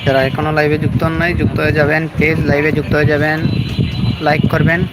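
A man's voice: a little high, completely flat delivery, fast. A man hosting a casual live vlog outdoors, politely and repetitively addressing his viewers. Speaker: live-stream host. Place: outdoors in a public park. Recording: very noisy outdoor recording with vehicle sounds.

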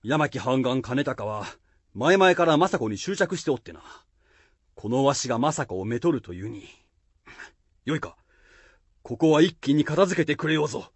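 A man's voice: medium pitch, animated, normal speed, pauses often, breathy edge, no room sound. A man playing a lordly samurai warlord in a period radio drama, with weighty archaic speech. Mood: commanding. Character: lordly middle-aged samurai warlord, musing and commanding.

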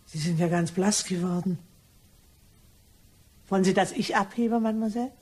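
A woman's voice: mid-range voice, normal speed, heavy background noise, lightly acted. A woman playing a sly, chatty cleaning lady turned blackmailer in a crime radio play, ingratiating and mock-innocent in manner. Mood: servile, curious.

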